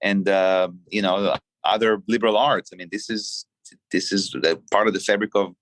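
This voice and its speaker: Deep low guy from israel, halting podcast chat, lots of uhs, dry close sound. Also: fairly even intonation, fairly bright tone, no room sound, rough recording. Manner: halting, many restarts and fillers. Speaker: chef and restaurant owner. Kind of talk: casual podcast interview.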